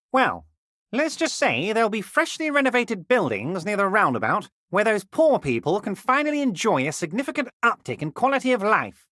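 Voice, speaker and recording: An actor playing a smarmy, scheming, corrupt union boss in a video game, speaking in an oily, falsely friendly and patronizing way. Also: male voice, high-pitched, sharp tone, dry recording, clean studio sound, full character voice. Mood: slyly self-justifying. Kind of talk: theatrical video game character dialogue.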